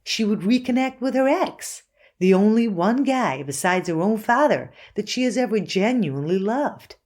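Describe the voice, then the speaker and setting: A woman's voice: medium pitch, animated, normal speed, a little mellow, a little hiss or hum, lightly acted. An actress playing a certain, accusing woman interviewed on a true-crime podcast, explaining herself in an assured, pointed way. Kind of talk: acted documentary interview.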